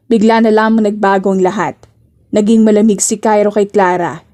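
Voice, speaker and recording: A woman's voice: medium pitch, warm tone. A woman reading scripted romance narration as an audiobook narrator.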